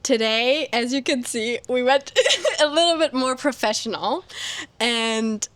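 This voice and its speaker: Woman hosting a podcast, chatty, lots of ums, kinda high voice, dry sound. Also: no room sound. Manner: friendly, uses fillers like "um". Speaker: podcast host. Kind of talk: casual chat. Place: indoor room.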